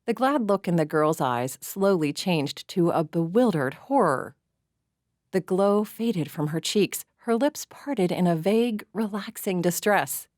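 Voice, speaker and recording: A woman reads a classic short story aloud in a measured, expressive way. Her voice sits a little low, and the recording is close and completely dry. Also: no echo. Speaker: narrator. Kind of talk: scripted storybook narration.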